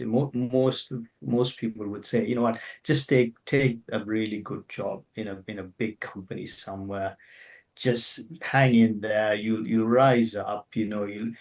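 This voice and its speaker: A man's voice: fairly low pitch, darkish tone, distant mic, low-quality recording. A middle-aged man who grew up in Zambia, answering interview questions in a hesitant way with many fillers and restarts. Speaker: interview guest, author.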